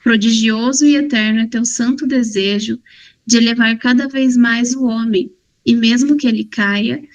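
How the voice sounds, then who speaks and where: Woman reciting a prayer. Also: on the high side. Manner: steady, measured recitation. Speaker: prayer reader. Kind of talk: reading a prayer aloud.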